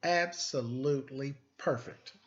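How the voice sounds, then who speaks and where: A man voicing a doting, wordy storybook father who speaks effusively and deliberately. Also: mid-range voice, dull audio, a little hiss or hum, natural voice.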